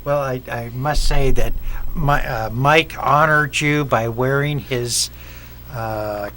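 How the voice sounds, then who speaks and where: Male co-host, sharp-edged voice, searching for words, light background noise. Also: medium pitch, slow. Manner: hesitant, many fillers and restarts. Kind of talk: casual radio chat.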